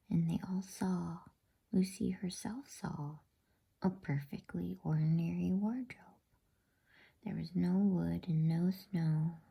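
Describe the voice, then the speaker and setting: A woman reading a children's story aloud in a soft, hushed ASMR whisper, slow and soothing. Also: on the low side, creaky, breathy edge.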